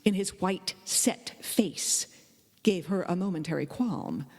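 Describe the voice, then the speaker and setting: A woman giving a dramatic live reading of a literary story, with expressive, deliberate phrasing. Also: fairly low pitch, slightly rough. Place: indoor hall, live performance. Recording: live performance in a reverberant hall.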